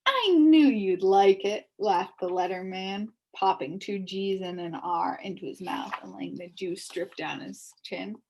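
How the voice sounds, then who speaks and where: A woman reading a children's novel aloud with expressive, animated storytelling. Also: on the low side, unhurried, creaky, few pauses, a little hiss or hum, rough recording. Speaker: storybook reader.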